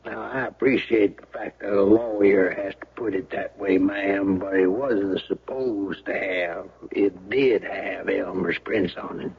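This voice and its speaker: An actor playing a plain-spoken, courteous small-town sheriff in a radio drama, with a husky voice and folksy, deliberate talk. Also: male voice, low-pitched, steady tone, heavily acted. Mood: firm, courteous. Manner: folksy grammar, deliberate, deferential with "ma'am". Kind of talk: 1970s radio drama acting. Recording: muffled old radio broadcast recording.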